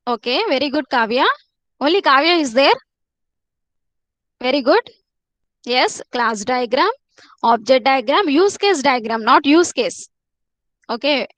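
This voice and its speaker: A woman teacher leads a live online class, her voice sitting high. She repeats herself often and coaxes students to answer. The sound is dry and close, picked up by a computer mic. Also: fairly bright tone, dry recording. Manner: repetitive, coaxing students to answer.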